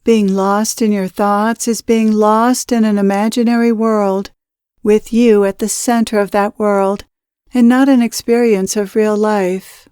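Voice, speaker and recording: A woman guides a spiritual meditation in a smooth, clean voice at a middling pitch. She speaks in deliberate, instructional phrases, each one measured out. The sound is dry, with no room around it. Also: steady tone, warm tone, no room sound.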